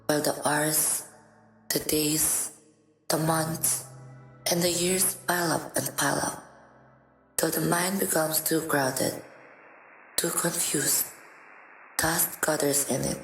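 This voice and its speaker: Low, creaky woman narrator in echoey room. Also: a little hiss or hum. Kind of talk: scripted dramatic story narration. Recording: roomy echo, faint music behind.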